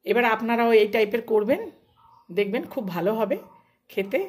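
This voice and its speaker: A woman's voice: on the high side, moderate pace, a little mellow. A woman, a home cook narrating a recipe step by step in plain, short, casual phrases. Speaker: home cook hosting a cooking channel.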